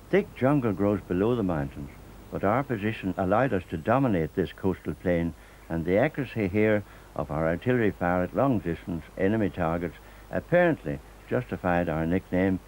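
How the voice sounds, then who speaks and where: An older man from Northern Ireland narrates in a measured, steady way, his voice pitched a little low. There's a faint hum of ambience underneath him. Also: low-quality recording. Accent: Northern Irish. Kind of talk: scripted documentary narration.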